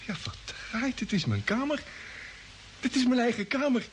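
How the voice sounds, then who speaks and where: A man playing an excitable, bewildered young space traveller in an old radio drama, stammering and indignant. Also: extremely high pitch, normal speed. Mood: dazed. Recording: echoey, noisy old radio play recording.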